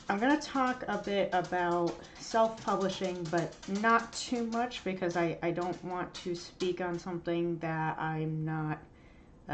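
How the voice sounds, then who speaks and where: A woman's voice: on the low side, slight background noise. A woman in her thirties hosting a podcast for writers, sounding nasal and stuffy from allergies, talking candidly with plenty of ums and uhs. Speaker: podcast host. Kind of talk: casual solo talk to writers. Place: home room.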